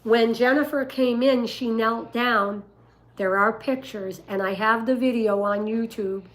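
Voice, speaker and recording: An older woman with a rough voice, telling stories and giving advice casually on a livestream, in an emphatic, deliberate way. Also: fairly high pitch, steady tone, poor audio. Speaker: dog breeder and livestream host.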